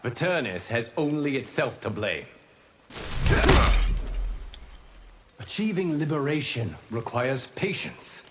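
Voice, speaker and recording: Guy playing a calm wise time god in a video game, grave n formal, pitch moves a lot. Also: moderate pace, very noisy, lightly acted. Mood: stern, measured. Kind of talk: video game fight-intro dialogue.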